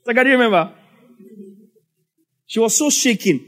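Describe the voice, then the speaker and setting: A man's voice: very high voice, expressive, normal speed, fairly bright tone. A man with a Nigerian English accent preaching a sermon as a pastor, telling the story in a conversational way with asides.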